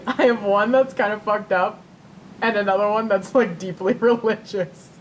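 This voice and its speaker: A young woman co-hosting a podcast, telling stories casually in a giggly, animated way with easy swearing. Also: moderate pace. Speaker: podcast co-host. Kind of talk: casual chat, storytelling. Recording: rumbly background noise.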